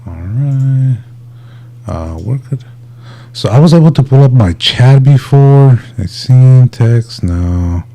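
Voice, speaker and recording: A male livestream host with a dark, mellow voice at a middling pitch. He talks in a laid-back, informal way, addressing his viewers like friends in casual, unscripted chat. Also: expressive, slow pace.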